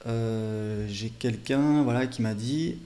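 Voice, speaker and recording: A man with a mid-pitched, mellow voice talks through an on-screen demo like an online coach. His delivery is casual and unscripted. He phrases things loosely, often hesitating and leaning on small filler words. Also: slow pace. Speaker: online business coach presenting a software demo.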